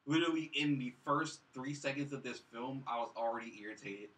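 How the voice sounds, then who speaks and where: Guy griping about movies on a podcast, blunt, bright animated voice, sounds a bit far off. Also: mid-range voice, a little fast. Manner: blunt, exasperated complaining. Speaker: podcast co-host reviewing films. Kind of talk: casual film review chat. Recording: distant from the mic.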